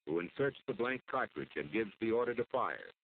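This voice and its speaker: An American man delivers formal, measured scripted narration for an instructional military training film. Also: lowish voice, big pitch swings. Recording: muffled phone-like old film soundtrack.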